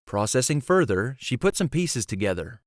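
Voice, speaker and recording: A man's voice: medium pitch, sing-song, moderate pace, no echo. Dry-recorded male audiobook narrator. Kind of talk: scripted fantasy story narration. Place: close, dry recording space.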